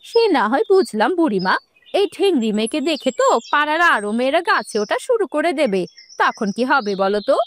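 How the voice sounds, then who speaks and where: Woman as a high-voiced, needling village busybody. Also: very animated, a little fast, slight background noise. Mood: taunting. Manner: taunting, gossipy. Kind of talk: Bengali fairy-tale cartoon dialogue.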